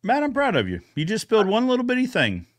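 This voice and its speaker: A man's voice: sits high, huge pitch swings, fast talker. A man hosting a podcast recap in a casual, folksy, conversational way. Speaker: host of a podcast recap show.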